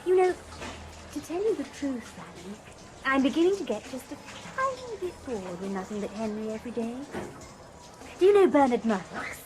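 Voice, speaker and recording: A woman's voice: fairly high pitch, big pitch swings, normal speed, low-quality recording. An actress playing a breezy, playful young woman chatting with a friend. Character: light, easygoing young woman.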